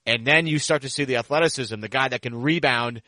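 A man's voice: medium pitch, fairly quick, sharp tone, no room sound. A man hosting a casual basketball podcast, repeating phrases for emphasis as he talks. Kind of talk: casual sports talk.

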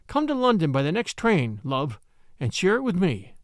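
A man's voice: a little high, wildly swooping pitch, moderate pace, dry recording. A man voicing a pious, fervent spinster who pleads with impassioned insistence in an audiobook. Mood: fervent pleading.

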